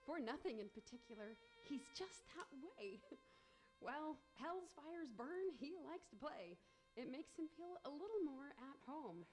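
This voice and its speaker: Woman as high-voiced confiding radio narrator, fiddle behind. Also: sing-song, measured pace, low-quality recording. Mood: wry, confiding. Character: sly female sotto voce narrator, confiding asides. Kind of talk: amateur radio theater narration. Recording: fiddle music clearly behind voice.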